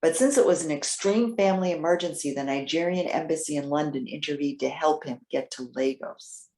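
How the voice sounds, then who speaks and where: A woman playing a trusting, excitable elderly widow in readers theater, talking in a chatty, halting, earnest way. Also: low-pitched. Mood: concerned, earnest. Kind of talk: readers theater stage acting. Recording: roomy, echoing sound.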